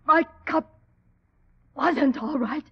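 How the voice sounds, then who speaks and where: High-pitched, agonized poisoned woman. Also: takes it slowly. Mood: agitated, accusing. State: crying out in pain, gasping. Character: stricken, stubborn woman convinced she is poisoned. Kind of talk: 1940s radio acting. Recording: muffled old-time radio recording.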